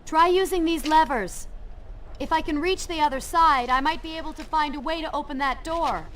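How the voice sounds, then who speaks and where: An actress voicing a proud, commanding young princess in a video game, speaking briskly and directly. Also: female voice, high voice, noisy background, close to an everyday voice. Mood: determined. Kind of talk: game cutscene acting. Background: game music.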